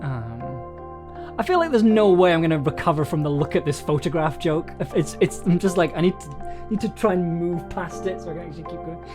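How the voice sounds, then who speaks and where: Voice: mid-range voice, a little fast. A streamer thinking aloud casually while writing a story live, in a playful, musing, giggly manner. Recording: music behind the voice. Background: music and computer keyboard typing.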